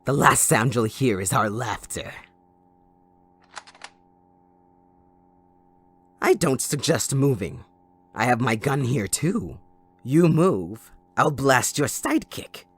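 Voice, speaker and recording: Wildly swooping, gleefully unhinged supervillain. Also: male voice, medium pitch, lively intonation, unhurried, husky. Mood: gloating, menacing. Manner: theatrical, taunting, mock-sweet, swinging between hushed menace and outbursts. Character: sadistic, theatrical male supervillain kidnapper, possessive and gleefully unhinged. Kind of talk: dramatic audio roleplay monologue.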